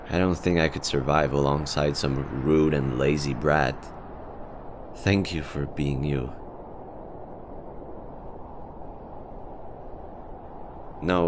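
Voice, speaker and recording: A man's voice: rumbling low, noisy background. A man acting as a lonely, easygoing survivor of a nuclear apocalypse in an audio roleplay, talking casually and candidly with some hesitation. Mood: vulnerable, grateful.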